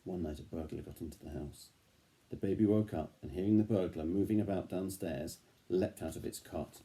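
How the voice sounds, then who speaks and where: Very deep, mellow male storyteller. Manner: gentle, engaging, pauses to prompt the child. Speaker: storyteller reading to a child. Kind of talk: picture book read-aloud with questions to a child.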